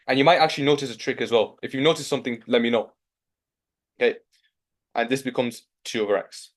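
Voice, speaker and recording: A young man with a Yorkshire accent teaching a live online maths lesson, coaxing his students along with steady encouragement. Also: mid-range voice. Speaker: maths tutor.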